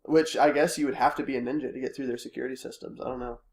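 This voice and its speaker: A man hosting a casual podcast chat, congested with a cold and coughing now and then, relaxed and hesitant with many fillers. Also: mid-range voice, racing, echoey room. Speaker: podcast host.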